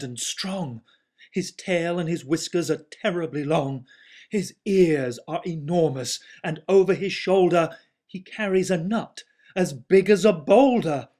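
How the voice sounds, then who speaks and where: A storyteller reading a children's book aloud as an animated bedtime tale. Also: medium pitch. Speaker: storybook reader.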